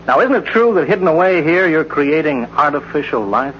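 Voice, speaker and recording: Man playing a brash reporter, lively high-pitched delivery. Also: fairly quick, lightly acted. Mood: pushy, breezy. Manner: breezy, insistent. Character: brash, pushy, cynical newspaper reporter. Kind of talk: old-time radio drama acting. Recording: muffled, hissy old radio recording.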